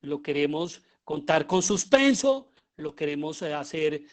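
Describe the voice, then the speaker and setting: A man with a Colombian accent giving an explanatory lecture as a teacher, often pausing and posing rhetorical questions. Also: on the high side, big pitch swings. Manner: halting, with pauses and rhetorical questions. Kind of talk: explanatory lecture on writing audio fiction.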